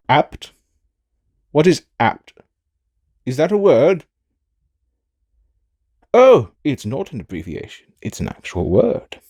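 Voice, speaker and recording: A man's voice: medium pitch, full character voice. A man playing a sassy, self-absorbed fantasy villain in an audio drama, with a theatrical, drawling and melodramatic delivery. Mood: bemused, self-absorbed. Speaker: fan audio drama voice actor and narrator. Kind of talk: theatrical in-character outtakes.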